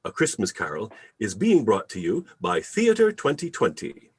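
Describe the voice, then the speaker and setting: A man announcing and narrating a radio play in a theatrical, deliberate, emphatic style. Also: mid-range voice, huge pitch swings, gravelly, slightly rough. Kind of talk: old-time radio announcing and storytelling. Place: remote video call reading.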